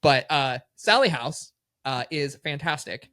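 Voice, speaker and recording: A man's voice: a little high, lively intonation, unhurried, sharp tone, no echo, clean studio sound. A man co-hosting a podcast, chatting casually and joking, often repeating his words as he starts. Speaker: podcast co-host. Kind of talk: casual chat.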